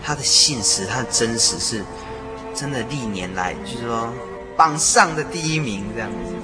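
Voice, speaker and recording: A man in a casual conversation about faith, halting as he repeats and rephrases himself. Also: medium pitch, animated. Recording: background music under the talk. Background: music.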